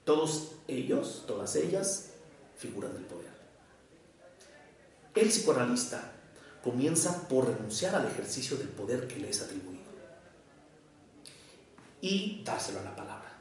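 A man with a Mexico City accent lectures in a slow, deliberate cadence, breaking his thoughts into short phrases. His voice has a gravelly edge and sits at an everyday pitch. The recording is heavily echoey, with the mic well away from him. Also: big pitch swings, a little mellow. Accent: Mexican Spanish, Mexico City. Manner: measured, deliberate, with short pauses between phrases. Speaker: psychoanalyst giving a lecture. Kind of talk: academic lecture to an audience. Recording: echoey room, voice picked up from far off.

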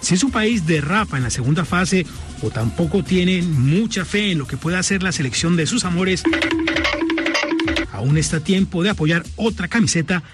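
A male radio reporter narrates a scripted news feature in a measured, lightly playful tone. His voice sits slightly high, with clear music running underneath. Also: expressive. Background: music bed.

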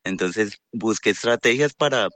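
A man's voice: on the low side, normal speed, fairly bright tone, dry recording. A man who teaches at a university, explaining his work in an interview in a conversational way with many fillers. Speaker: university lecturer. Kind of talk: interview answers.

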